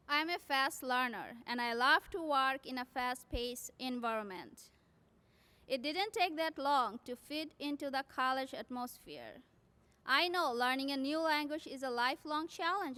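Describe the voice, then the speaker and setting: A woman's voice: sits high, sharp tone. A woman from South Asia whose first language is not English, a graduating nursing student giving an earnest prepared commencement speech.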